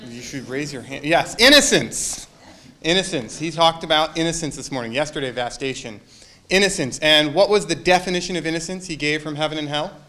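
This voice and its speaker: Male minister, fairly high voice, chatty sermon with jokes and audience quizzes. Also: a little fast, bright, forceful tone. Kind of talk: casual sermon to a congregation.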